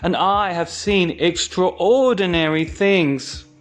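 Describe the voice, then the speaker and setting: A man's voice: high voice, slow, soft background music, voice pushed up. A man voicing a proud young frog in a storybook, telling of wonders with triumphant, mysterious emphasis. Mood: mysterious, excited. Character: proud young tadpole turned well-travelled frog, male.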